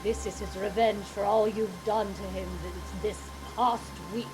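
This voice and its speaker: A woman's voice: mid-range voice, fairly even intonation, unhurried, music in the background, natural voice, low-quality recording. A woman playing a superstitious, doom-saying housekeeper in a radio play, dramatic and foreboding. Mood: alarmed, foreboding. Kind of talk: theatrical radio play acting.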